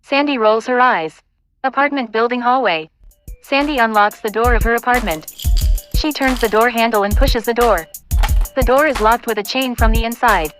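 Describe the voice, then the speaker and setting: A woman's voice: fairly high pitch, moderate pace, fairly bright tone, soft background music. A woman reading scripted narration and stage directions for an audio play.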